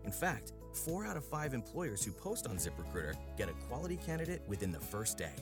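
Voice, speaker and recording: Upbeat male ad announcer over loud music. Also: mid-range voice, very animated, brisk. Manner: upbeat, polished. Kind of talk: scripted radio ad read.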